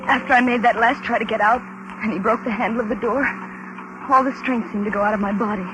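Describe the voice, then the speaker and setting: An actress playing a frightened young woman held captive, nervous and halting, in a 1940s radio drama. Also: a little high, steady tone, lightly acted. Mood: haunted narrating. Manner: nervous, stammering, pleading. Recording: noisy old radio recording.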